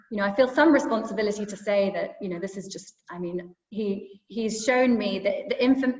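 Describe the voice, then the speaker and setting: A woman journalist answers slowly and thoughtfully, stopping often to restart her sentences. Her voice comes through a video call with a roomy echo and a long tail of reverb. The sound is dull and muffled. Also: medium pitch. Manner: halting, thoughtful, many fillers and restarts.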